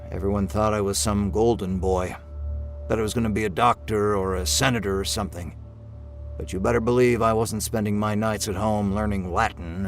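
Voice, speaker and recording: Guy putting on a creepy old dude, low hushed menacing voice, faint music behind. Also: lightly acted. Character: creepy morbid old man grinning with yellowed teeth.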